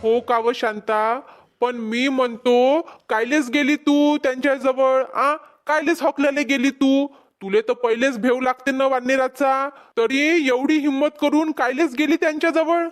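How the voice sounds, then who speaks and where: A woman's voice: high voice, fairly even intonation, unhurried. A woman voicing a concerned, reasoning village farmer husband in a cartoon comedy, with a Vidarbha Marathi accent. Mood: gently chiding. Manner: placating, explaining, gently chiding.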